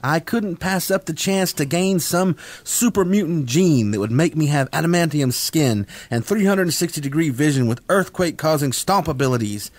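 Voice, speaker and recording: A man acting a megalomaniac young nerd in a radio comedy. He is slangy and self-important, with a slightly high voice that swoops around, recorded dry and close. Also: expressive, moderate pace, few pauses, no room sound. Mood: boastful. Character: whiny, megalomaniac young male nerd gang leader. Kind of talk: scripted comic radio drama acting.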